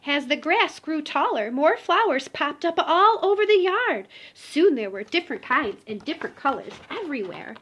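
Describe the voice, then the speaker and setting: A woman's voice: high voice, sing-song, normal speed, few pauses, a bit bright, highs cut off. A woman reading a children's picture book aloud expressively, talking directly to young listeners. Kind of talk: expressive children's storybook read-aloud with asides.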